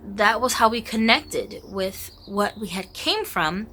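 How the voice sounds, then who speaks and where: A woman's voice: mid-range voice, expressive, slow pace, bright, forceful tone, a little hiss or hum. A woman from Korea whose first language is Korean, telling her life story in a candid, chatty vlog with many fillers. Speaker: vlogger.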